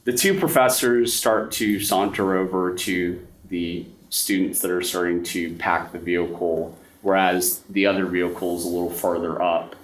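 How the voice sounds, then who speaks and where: A man running a tabletop horror game as game master, narrating in a measured storytelling way. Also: sits low, fairly even intonation. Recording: echoey room, mic at a distance, faint music.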